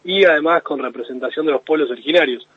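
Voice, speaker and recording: A man explaining news analysis to a radio host as correspondent, steady and with frequent restarts. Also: fairly high pitch, fast talker, breathy edge. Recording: phone-line call into radio.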